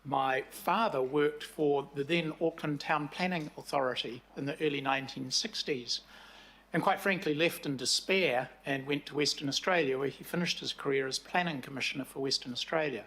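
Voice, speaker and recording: A New Zealand man in his sixties speaks to a council committee as a commission chair. His voice sits a little high, and he talks in a measured way, pausing often on fillers. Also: sharp tone, poor audio. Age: 60s. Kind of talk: formal committee presentation.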